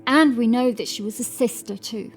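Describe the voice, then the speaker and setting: A woman's voice: on the high side, moderate pace, natural voice. A woman voices a medieval inquisitor in a horror tale, full of scorn. The delivery is mocking and accusatory, with music playing underneath. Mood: triumphant, accusing. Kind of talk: dramatised horror audiobook acting.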